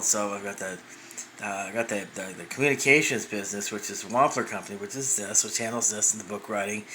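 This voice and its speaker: A man's voice: fairly low pitch, bright, forceful tone, a little hiss or hum, low-quality recording. A man in his fifties telling a personal story as a vlogger, rambling with many restarts and fillers. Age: 50s.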